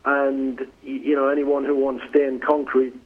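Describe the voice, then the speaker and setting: Middle-aged English man, business guest on a phone interview, husky voice, tinny phone line, stumbles and repeats words. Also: mid-range voice, little pitch movement, measured pace. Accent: northeast English, softened by years in the US. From northeast England. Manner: conversational, repeats words when starting sentences. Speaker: flooring company president, guest.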